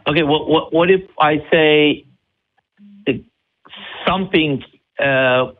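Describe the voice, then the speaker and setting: A man from Turkey with a Turkish accent, calling in to a live debate and making his point haltingly but persistently. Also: fairly high pitch. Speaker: caller. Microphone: phone call. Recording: narrow muffled phone line.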